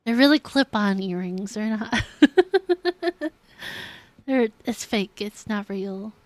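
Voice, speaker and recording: Young female streamer, mid-pitched, informal chat, giggly and conversational, close dry microphone. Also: lively intonation, slow, dry recording. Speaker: game streamer. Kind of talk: casual chat with viewers during a livestream.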